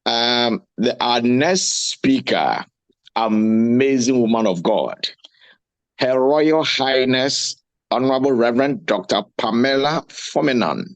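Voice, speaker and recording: A man's voice: medium pitch, animated, slow, no echo. A man hosting a seminar, giving formal, ceremonious introductions of speakers. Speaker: host. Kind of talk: moderating a seminar.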